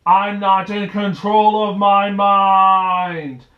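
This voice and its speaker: A gloating, mind-controlled villain from a homemade puppet show, put on by a young man. He drones his words very slowly in a high male voice, in an echoey bedroom. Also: level tone, narrow, tinny audio. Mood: blank, cold. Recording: roomy, echoey bedroom sound.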